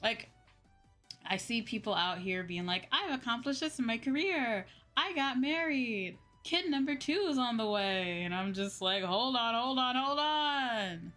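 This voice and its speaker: A young adult woman in a casual livestream chat, talking as a streamer to viewers in an animated, exclamatory way with acted-out asides. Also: on the high side, a little slow. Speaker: VTuber streamer chatting with viewers. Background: background music.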